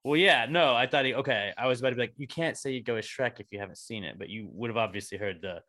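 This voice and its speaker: A man's voice: mid-range voice, fast talker. A man, hosting a casual podcast chat and joking around. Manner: joking, animated, laughs often. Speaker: podcast host.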